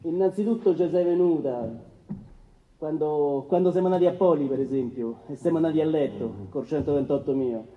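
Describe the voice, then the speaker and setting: A man's voice: on the high side, fairly quick, narrow, tinny audio, natural voice. Man acting aggrieved young defendant, central Italian accent. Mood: brazen. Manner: colloquial, insistent. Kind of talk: courtroom docudrama acting.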